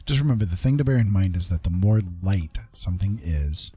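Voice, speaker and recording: Low-pitched man, mellow tone, casual tutorial chat, thinking aloud, faint music behind. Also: animated, fairly quick, husky. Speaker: livestream host, digital artist.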